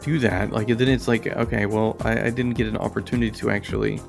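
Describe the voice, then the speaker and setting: A man hosting casual, unscripted game commentary, halting and rambling as he searches for words. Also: fairly low pitch, flat delivery, vocal fry, over music. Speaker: host of an indie game review channel.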